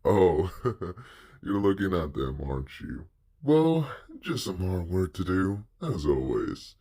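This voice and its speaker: A performer voicing a relaxed, flirty, confident male hellhound in a fan comic dub, teasing and easygoing. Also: sits low, takes it slowly, exaggerated voice. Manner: smooth-talking, teasing, easygoing.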